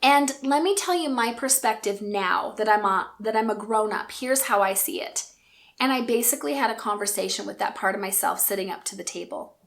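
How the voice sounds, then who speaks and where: A woman in her forties telling a personal story in an earnest, reflective and gentle way. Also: medium pitch, a little fast, creaky. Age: 40s. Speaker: speaker and coach.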